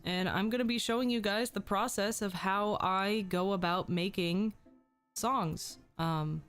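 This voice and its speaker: A woman's voice: mid-range voice, a little slow, a bit bright, clear, resonant tone, faint music behind. Chatty young woman streamer, slightly sick. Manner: chatty, reads and answers chat, frequent ums. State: slightly sick, losing her voice. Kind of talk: casual livestream chat.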